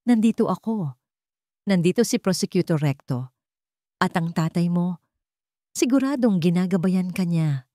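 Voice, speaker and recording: A woman's voice: on the low side, lively intonation, moderate pace, long pauses, mellow, no room sound, clean studio sound, natural voice. A woman voicing a protective, sincere man with a Filipino accent in a dramatic love story. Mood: reassuring.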